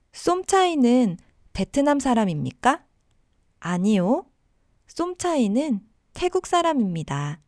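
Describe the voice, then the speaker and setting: Slow-paced woman teaching a language lesson. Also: a little high, darkish tone, dry recording, clean studio sound. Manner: deliberate, simple phrasing for learners.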